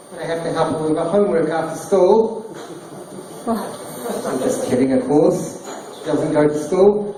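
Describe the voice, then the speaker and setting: A man doing stand-up one-liners in a deadpan delivery. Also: high voice. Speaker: stand-up comedian. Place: small bar stage, roomy and echoey. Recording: echoey distant bar recording, noisy. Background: small crowd laughing, bar noise.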